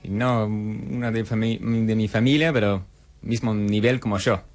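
Young Scottish man, low voice. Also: steady tone, a little slow, faint music behind. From Scotland. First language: English. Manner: hesitant, short answers with restarts. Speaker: guest, bagpiper. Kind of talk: interview answers in learned Spanish.

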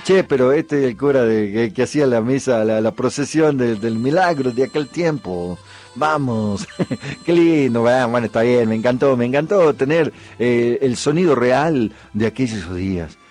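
An Argentine man in the middle of his pitch range tells history in a loose, rambling way. He fills his talk with "eh" and "¿no?" tags, and soft music runs faintly underneath. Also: expressive, normal speed. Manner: chatty and digressive, with frequent "eh" and "¿no?" asides. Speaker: program host. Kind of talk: casual history storytelling.

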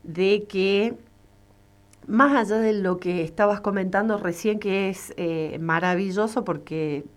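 Slow-spoken woman radio host. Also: mid-range voice. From Catamarca, Argentina. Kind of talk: radio interview.

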